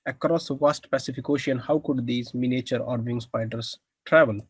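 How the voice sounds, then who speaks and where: A young man with an Indian accent presents his research from an air-conditioned room, stopping often on "uh" and lifting his voice at the parts he finds exciting. His pitch sits in the middle of the range. The sound is close and dry, with almost no room echo. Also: a little fast, slightly rough. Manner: many "uh" fillers, enthusiastic about findings.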